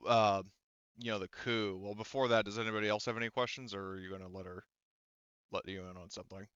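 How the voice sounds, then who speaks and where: Man game master, smooth voice, muffled mic. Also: fairly low pitch, fairly even intonation, a bit bright, dry recording. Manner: halting, conversational, paraphrasing characters' speech. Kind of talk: narrating a tabletop roleplaying game.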